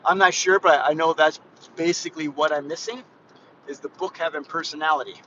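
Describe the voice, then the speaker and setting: A man's voice: on the high side, fairly even intonation, brisk, pushed and bright, muffled recording. Rambling man in his fifties, driving. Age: 50s. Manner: rambling, chatty, frequent restarts and "guys". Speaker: fitness vlogger. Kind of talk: casual live vlog while driving. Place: inside a moving car. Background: faint car and road noise.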